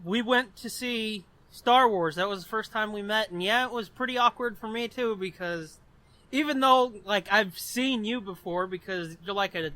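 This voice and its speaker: A man's voice: high voice, moderate pace, bright, forceful tone, poor audio. A man co-hosting a podcast in casual comedic banter, crude and sardonic, swearing freely. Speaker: podcast co-host.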